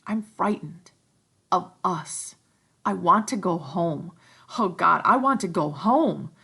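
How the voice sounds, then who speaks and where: A woman's voice: expressive, takes it slowly, creaky, pushed and bright, husky, heavily acted. A woman voicing a shaken, guilt-stricken boy leader in a read-aloud novel. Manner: low, stricken, troubled.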